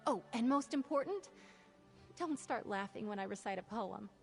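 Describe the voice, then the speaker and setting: A high, youthful female voice plays an earnest knight aspirant in a fantasy game. She is anxious yet dutiful and courteous. Soft music plays behind her. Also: lively intonation, moderate pace, gravelly. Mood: nervous. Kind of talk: video game voice acting.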